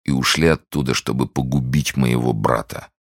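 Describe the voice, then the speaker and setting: A man voicing a pitiless executioner who speaks low, slow and quietly. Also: fairly even intonation, no echo, clean studio sound. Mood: grim calm. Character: grim executioner, calm and pitiless.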